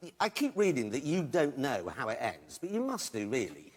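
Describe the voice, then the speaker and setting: Teasing host with a lively voice. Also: male voice, medium pitch, normal speed. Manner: teasing, jokey, animated. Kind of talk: studio chat show interview. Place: TV studio with live audience. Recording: cheering studio crowd and noise.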